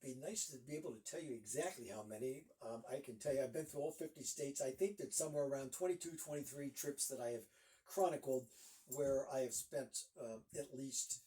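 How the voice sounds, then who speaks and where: A man's voice: medium pitch, a little fast, warm tone, distant mic, poor audio. A man in his sixties from Northeast Ohio, a guest author chatting casually in an interview and rambling with lots of fillers.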